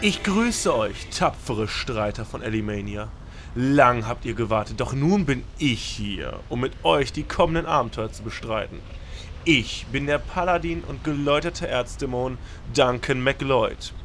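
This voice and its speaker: A pompous, self-important paladin. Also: male voice, mid-range voice, lively intonation, a little slow, fairly bright tone, slight background noise. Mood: grandiose. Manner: grandiloquent, then flustered. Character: earnest male paladin hero overeager role-player. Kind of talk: comedy audio drama.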